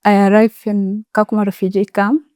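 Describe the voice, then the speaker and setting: A young woman whose first language is Anuak tells her education story in an interview. She speaks at a middle pitch in a dry, close sound, linking each step with "so". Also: flat delivery, a little mellow, smooth, no room sound. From South Sudan region, Anuak speaker. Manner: measured, step-by-step storytelling with frequent "so". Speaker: solar installation facilitator.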